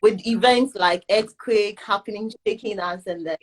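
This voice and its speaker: A young woman from Ghana, a guest in a casual live video chat, talkative and pausing to search for words. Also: mid-range voice, poor audio. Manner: chatty, searching for words with fillers.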